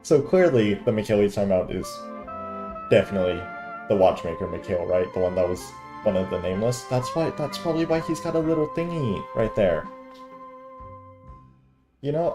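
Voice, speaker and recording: Very low, mellow woman streaming. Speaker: game livestreamer. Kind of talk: casual live reaction commentary, reading game lines aloud.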